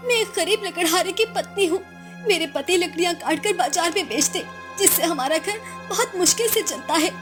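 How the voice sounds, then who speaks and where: A woman voicing a humble young wife of a poor woodcutter in a cartoon story, hesitant and imploring. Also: very high voice. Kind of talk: Hindi moral-story cartoon dialogue.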